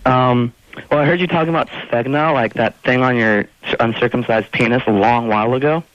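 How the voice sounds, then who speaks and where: A man's voice: medium pitch, steady tone, fairly quick, fairly bright tone, dry recording, highs cut off. A teenage boy calling into a radio show, hesitant and mumbling. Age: teens. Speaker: caller. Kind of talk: phone call to radio show. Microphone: telephone.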